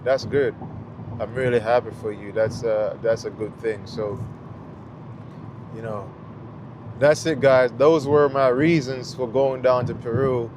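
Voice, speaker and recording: A middle-aged man with a Jamaican accent, chatting casually to viewers while driving, rambling through a story with lots of fillers. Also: medium pitch. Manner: rambling, relaxed storytelling with many fillers and restarts. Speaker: vlogger. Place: inside a car on a highway. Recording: car and road noise in the background.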